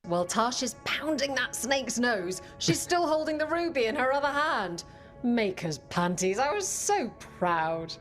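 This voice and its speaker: Brash game adventurer, female: higher voice, swaggering crew storyteller, music underneath. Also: big pitch swings, a little slow, fairly bright tone. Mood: dramatic storytelling. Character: brash adventurer woman, pirate-like storyteller, loyal crew member. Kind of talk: video game cutscene dialogue.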